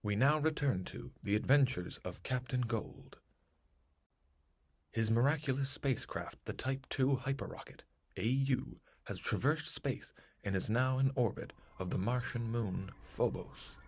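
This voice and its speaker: A man playing the portentous announcer of a 1950s-style space radio serial, with a gravelly, husky voice and dramatic delivery. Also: mid-range voice, unhurried.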